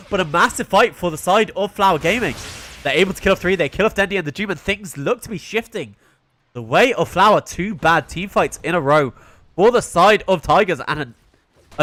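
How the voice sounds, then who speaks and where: A young man with a British accent casts a video game match on his own, calling the action and breaking off to answer his stream chat. His voice sits a little higher than most men's. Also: fairly bright tone. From Britain. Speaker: esports caster. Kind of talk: solo play-by-play commentary on a live stream.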